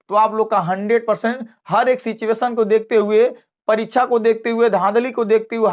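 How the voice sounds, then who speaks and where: An exam coaching teacher speaks. The delivery is informal and emphatic. Key phrases are repeated, with frequent checks that listeners are keeping up. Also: mid-range voice. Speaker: math teacher at a coaching class. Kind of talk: informal exam cut-off explainer.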